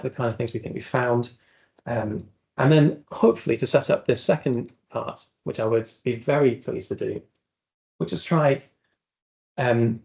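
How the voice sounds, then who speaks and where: A man's voice: mid-range voice, gravelly, darkish tone. A man giving an earnest, reflective seminar talk with slides in a university seminar room. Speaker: academic speaker.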